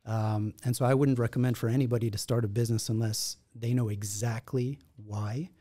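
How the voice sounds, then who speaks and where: A man in his forties from Israel, a podcast guest, telling his story in a reflective, measured way at a studio mic. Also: medium pitch, fairly even intonation, dark tone. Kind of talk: conversational storytelling. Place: podcast studio in a martial arts gym. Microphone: boom-arm studio mic with headphones.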